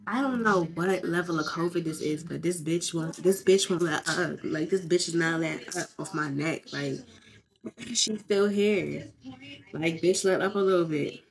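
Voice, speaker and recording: A woman's voice: fairly low pitch, moderate pace. A young woman, congested from covid, chatting bluntly and swearing freely in a casual vlog. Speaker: vlogger.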